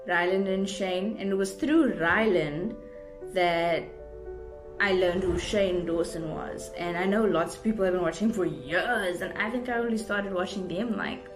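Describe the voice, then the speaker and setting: A young South African woman chatting casually to her viewers as a vlogger, rambling along in an effusive, gushing way. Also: medium pitch. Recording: roomy indoor echo, music underneath.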